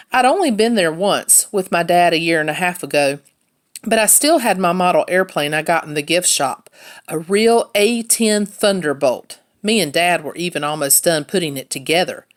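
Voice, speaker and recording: A woman reading a children's novel aloud as a first-person storyteller. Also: lowish voice. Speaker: read-aloud narrator, teacher.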